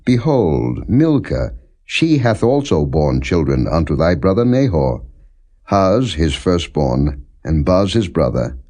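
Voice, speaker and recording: A man narrating scripture as a scripted, non-dramatized reading, in a solemn, measured and deliberate manner. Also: low-pitched, dark tone.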